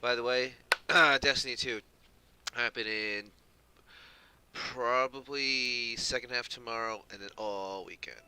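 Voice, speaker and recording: A man's voice: medium pitch, steady tone, slow pace, bright, forceful tone. A man doing casual live game commentary as a streamer, slangy and profane, with a grumbling, sardonic manner. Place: room at home with an open window. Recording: clearly noisy room recording. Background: background noise.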